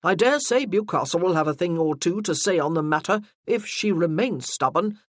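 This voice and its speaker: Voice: medium pitch, animated, moderate pace, dry recording, studio quality, natural voice. Narrator doing an irritated young lord. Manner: weary, peevish. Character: young nobleman, weary and irritated.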